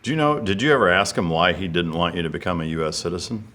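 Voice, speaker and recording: Deep-voiced male podcast host. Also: fast talker, fairly bright tone. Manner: hesitant, restarting his questions. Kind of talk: interviewer asking questions.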